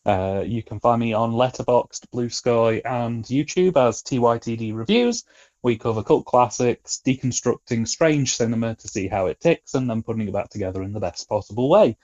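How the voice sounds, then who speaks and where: A man's voice: mid-range voice, normal speed, a little mellow, lo-fi sound. A British man chatting casually as a podcast guest, with a wry, colloquial way of talking. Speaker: podcast guest, film reviewer.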